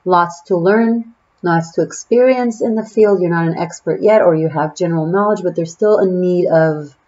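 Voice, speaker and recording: A woman's voice: lowish voice, moderate pace, darkish tone, muffled recording. Israeli woman, chatty tarot reader. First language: Hebrew. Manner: chatty and musing, trailing off with fillers. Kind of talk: casual tarot reading to camera.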